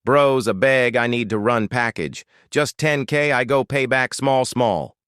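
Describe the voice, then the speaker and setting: A man's voice: medium pitch, unhurried, a bit bright, clear, resonant tone, no room sound, clean studio sound, pitched above the performer's own voice, natural voice. A man with a Nigerian accent voicing a boastful, image-obsessed young female student in a storytelling, with showy slang. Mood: pleading.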